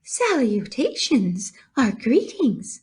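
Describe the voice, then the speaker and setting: A woman's voice: fairly high pitch, huge pitch swings, slow pace, darkish tone, husky, pitched above the performer's own voice, exaggerated voice. A woman voicing a small, kindly, refined female spider with a thin voice in a children's storybook. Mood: kindly, gracious. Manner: gracious, slightly fancy. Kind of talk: children's storybook read-aloud.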